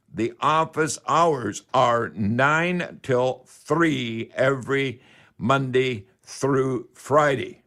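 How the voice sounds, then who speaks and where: An older man with a Canadian accent hosting a home improvement call-in radio show, talking in a folksy, rambling way like a friendly salesman making a pitch. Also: a bit bright.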